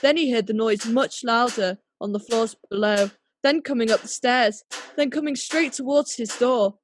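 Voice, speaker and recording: A woman narrating a radio play as a storyteller, measured and dramatic. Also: fairly high pitch, a little hiss or hum.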